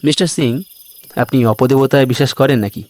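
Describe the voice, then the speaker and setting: A man's voice: medium pitch, brisk, a little mellow, dry recording, pitched above the performer's own voice, close to an everyday voice. A man voicing a curious, easily astonished male listener in an audio story, asking in a wondering way. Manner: inquisitive, wondering.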